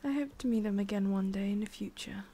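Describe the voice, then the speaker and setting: Woman playing a haughty, prickly forest witch, soft-spoken. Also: medium pitch, lightly acted. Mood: wistful, halting. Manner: condescending, halting, soft-spoken with whispery breaths. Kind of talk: ASMR roleplay.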